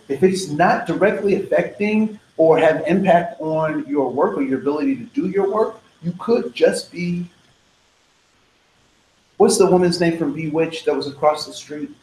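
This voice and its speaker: A man leading a diversity training workshop, talking casually and wandering off on tangents, often checking in with "right?". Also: a little high. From United States. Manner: casual, digressive, frequent "right?" and "um". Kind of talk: conversational workshop lecture. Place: training room. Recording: echoey training room, faint noise.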